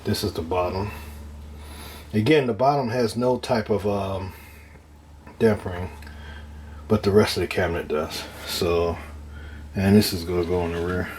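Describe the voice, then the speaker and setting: A man giving a casual, hands-on demonstration of his own DIY project, talking in a halting, unscripted way as he thinks aloud, with some noise in the recording. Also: low-pitched, darkish tone. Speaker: DIY speaker builder presenting own project.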